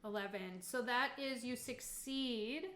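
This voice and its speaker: A woman's voice: fairly high pitch, slow, a bit bright. A woman running a tabletop roleplaying game as game master, narrating casually and encouraging the players. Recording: roomy echo, faint background noise.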